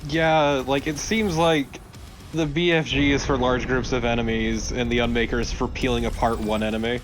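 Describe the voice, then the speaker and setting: A man's voice: mid-range voice, fairly even intonation, moderate pace, soft background music. A man co-hosting a let's play, chatting casually about the game.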